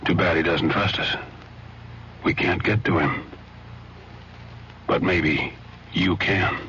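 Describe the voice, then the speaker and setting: A man's voice: sits low, slow pace, pushed and bright, a little hiss or hum. A male announcer delivering a public service announcement in an earnest, direct way. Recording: muffled old radio broadcast.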